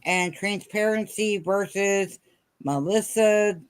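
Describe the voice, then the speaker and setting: A live stream host giving casual shout-outs to other streamers. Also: medium pitch, slow, clean tone.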